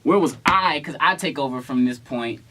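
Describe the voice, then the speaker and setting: A man's voice: on the high side, moderate pace. Playful young man, casual and slangy. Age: young adult. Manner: playful, slangy, animated protesting. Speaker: vlogger telling a couple story. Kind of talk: casual storytime chat.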